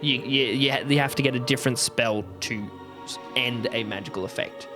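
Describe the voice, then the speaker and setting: A man's voice: medium pitch, brisk. Male player explaining rules, music behind. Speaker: tabletop game player. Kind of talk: casual rules talk at a game table.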